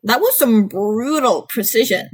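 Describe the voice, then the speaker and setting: A woman's voice: fairly high pitch, animated, measured pace, husky. A woman voicing a laid-back teenage boy from a superhero school, casual and slangy, in a story reading. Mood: impressed.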